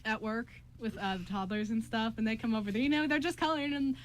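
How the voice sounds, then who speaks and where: A woman's voice: fairly high pitch, steady tone, fast. A chatty, giggly young woman co-hosting a casual student radio show, talking in a jokey way. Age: young adult. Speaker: radio show co-host and scriptwriter. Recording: noticeable background noise.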